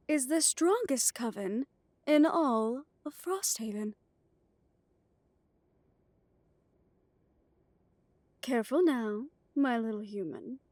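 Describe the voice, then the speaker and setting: A woman playing an imperious, condescending vampire coven leader in an audio drama, with a measured, haughty delivery. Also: high voice, no echo. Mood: stern, imperious. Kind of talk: audio roleplay drama acting.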